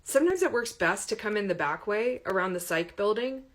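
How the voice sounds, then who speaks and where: A woman voicing a firm, quick-tempered but kind college professor in an audiobook, speaking with articulate authority. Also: medium pitch, animated, voice pushed up, lightly acted. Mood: softer, helpful.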